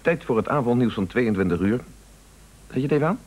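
A man acting a brisk, confident husband who runs a news agency in a Dutch-language radio play. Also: medium pitch, expressive, a little mellow, natural voice. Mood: dismissive, calm.

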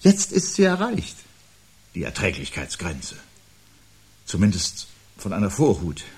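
An actor playing a brooding, anxious man who prophesies the end of life, speaking gravely and deliberately with a husky voice in a 1970s radio play. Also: sits low, huge pitch swings, darkish tone, heavily acted. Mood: bleak, insistent. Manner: grave, deliberate, articulate, philosophical monologuing.

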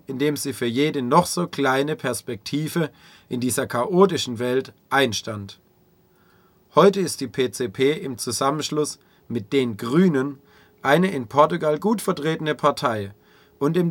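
A man narrating a scripted radio documentary in a measured reading manner. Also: mid-range voice, dry recording.

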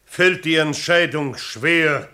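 An actor in a 1970s German radio play, playing a formal, ponderous chairman with a measured way of addressing a meeting. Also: on the high side, sharp tone. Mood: solemn, formal.

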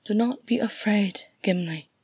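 A gentle, mysterious elf lady of a fantasy tale, as a woman reader acts her out. Her voice is soft and breathy, her phrasing slow and formal. She sounds serene and soothing. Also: medium pitch, fairly even intonation, no echo.

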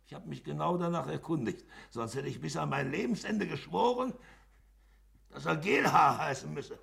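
A male actor in a German radio play, playing a jovial, sentimental man who spins anecdotes in an animated, drawn-out way. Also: on the high side, creaky, heavily acted. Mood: amused storytelling.

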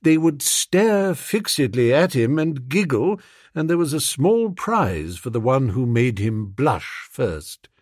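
A man voicing a discreet, deferential English valet in an audiobook, formal, measured and deadpan. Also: mid-range voice, breathy edge, no echo, studio quality, exaggerated voice. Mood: dryly informative.

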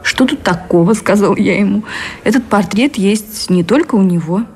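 A woman's voice: medium pitch, normal speed, a little mellow, slightly rough. An actress playing a devoted, romantic woman in a radio drama, reminiscing tenderly and wistfully. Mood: fond, animated. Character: Anna, devoted romantic woman reminiscing with her beloved admiral. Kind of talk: Russian radio drama acting. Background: music.

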